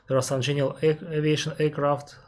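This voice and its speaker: Russian-accented man explaining at measured length. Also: fairly high pitch, little pitch movement, darkish tone. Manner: careful, explanatory, pauses with frequent "uh" and restarts; reads viewer questions aloud, then answers at length. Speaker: airline captain answering viewer questions. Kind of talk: live-stream Q&A.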